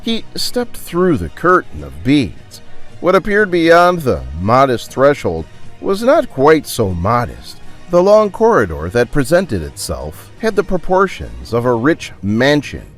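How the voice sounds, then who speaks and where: A man narrating a dramatic audio story in a theatrical, deliberate and suspenseful way. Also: mid-range voice, huge pitch swings. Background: music.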